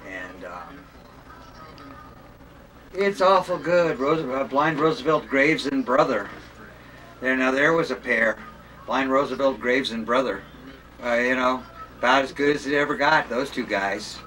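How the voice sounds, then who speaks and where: A man's voice: mid-range voice, expressive, unhurried, long pauses, pushed and bright. A man in casual conversation indoors, talking with enthusiasm and repeating himself for emphasis. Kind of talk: casual chat about old records and radios. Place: indoors in a house. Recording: distant camera mic, noisy room.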